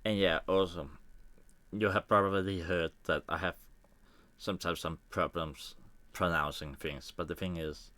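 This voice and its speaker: A man from Denmark with a Danish accent and Danish as his first language, thinking aloud in halting English with small grammar slips as he walks through editing a photo on a live stream. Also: sits low, fairly even intonation, pushed and bright, dry recording. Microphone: desk microphone.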